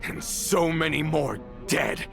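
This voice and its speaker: An actor playing a bitter, vengeful gang veteran turned traitor in a video game, theatrical and accusing, moving between brooding and ranting. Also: male voice, a little high, slow, gravelly, music in the background. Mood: bitter grief, accusing.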